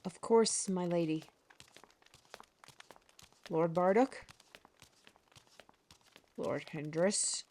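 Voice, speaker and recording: A quick-witted heroine in a fantasy audio drama, voiced by a woman. She talks slowly and unhurriedly. Her lines carry a dry, sarcastic edge. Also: lowish voice, warm tone. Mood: wry. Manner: wry, dryly sarcastic.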